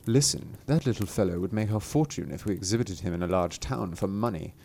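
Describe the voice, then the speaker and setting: A man's voice: deep voice, expressive, a little fast, gravelly, mellow, husky, pitched below the performer's own voice, heavily acted. A man voicing a greedy, scheming stranger in a fairy tale, exclaiming and conspiratorial.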